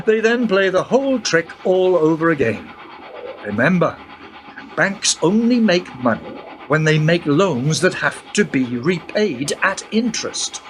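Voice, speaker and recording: A man's voice: on the high side, big pitch swings, takes it slowly. An actor playing a knowing guide who patiently explains money to a young woman, in a radio play. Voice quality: patient, lecturing. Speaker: guide character. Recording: background noise.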